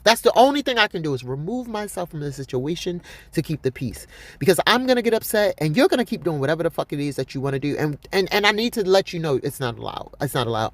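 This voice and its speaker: A woman with a deep voice giving blunt, profane, rambling commentary on a news story, laughing often. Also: a bit bright, dry recording. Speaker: vlogger.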